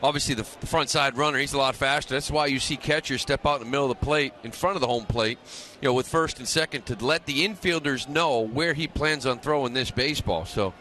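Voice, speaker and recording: A man's voice: medium pitch, fast, hardly any pauses, bright, forceful tone. A male color analyst talks through baseball strategy in an informal way. His voice sits in the middle range. Faint ballpark noise runs behind him on the broadcast. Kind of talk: informal analytical game commentary. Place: stadium broadcast booth.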